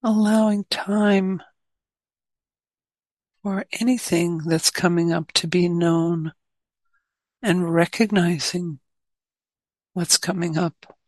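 A woman meditation teacher speaks very slowly in a slightly low voice. Her phrasing is gentle and spacious, with long pauses between short instructions. The sound is dry and close. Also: steady tone, dry recording. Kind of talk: guided meditation.

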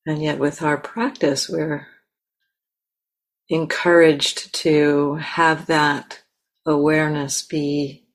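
A woman with a low voice guides a meditation. She speaks very slowly and gently, leaving long pauses between short phrases as she instructs the listener. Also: level tone. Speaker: meditation teacher.

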